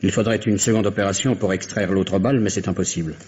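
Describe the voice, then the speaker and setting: A man's voice: low-pitched, fairly even intonation, fast talker, darkish tone, natural voice. An actor playing a grave, matter-of-fact male doctor in a vintage French radio drama, sober and clinical. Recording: dull lo-fi old radio recording.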